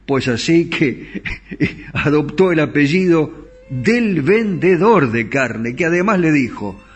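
A man's voice: a little high, expressive, slow pace, husky, soft background music. A middle-aged man with an Argentine accent hosting a radio show in a studio, telling tango history in a chatty way and asking his listeners questions. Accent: Argentine Spanish. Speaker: radio host. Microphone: studio microphone.

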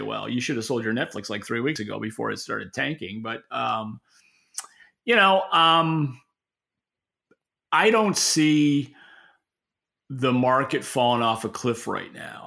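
Middle-aged American man, bright gravelly voice. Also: medium pitch. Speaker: podcast co-host. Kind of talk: casual unscripted market talk.

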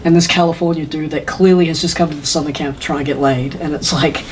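A man's voice: fairly high pitch, fairly even intonation, quick pace, a little hiss or hum. A man co-hosting a podcast in casual conversation, laid-back and slangy but enthusiastic about what he describes. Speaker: podcast co-host.

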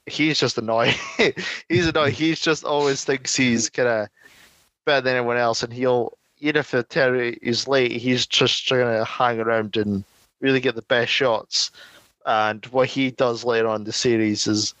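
A man's voice: medium pitch, steady tone, normal speed, fairly bright tone, no room sound, rough recording. A man co-hosting a podcast, chatting casually and explaining things at length with frequent laughs. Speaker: podcast co-host. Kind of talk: casual chat.

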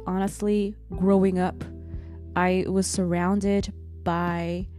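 Woman podcast host, warm mellow voice, earnest and encouraging, faint music under her. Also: on the low side. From Germany. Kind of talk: solo podcast monologue.

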